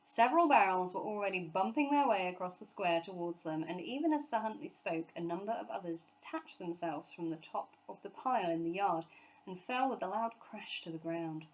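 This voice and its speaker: A woman reading a children's story aloud as scripted, expressive narration. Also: on the low side, brisk, poor audio. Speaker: story reader, teacher.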